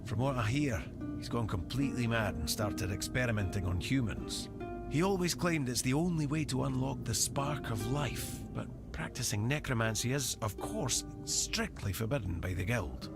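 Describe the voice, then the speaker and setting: An actor playing a cynical, shadowy guild member in a fantasy game, speaking in a measured, wry, secretive way. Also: male voice, mid-range voice, animated, creaky. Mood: grave, wry. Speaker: quest giver in a fantasy game. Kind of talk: scripted fantasy game dialogue. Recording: music behind the voice.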